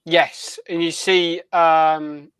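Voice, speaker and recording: A man with a slightly high, smooth and clear voice, recorded dry without room sound. He talks casually as a podcast panelist, tossing in jokey, teasing remarks. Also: flat delivery, extremely slow, fairly bright tone, no room sound. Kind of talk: casual fan panel chat.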